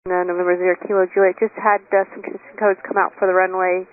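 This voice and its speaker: A woman's voice: on the low side, level tone, very fast. A woman working as a tower controller, giving procedural instructions over air traffic radio. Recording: tinny, staticky tower radio.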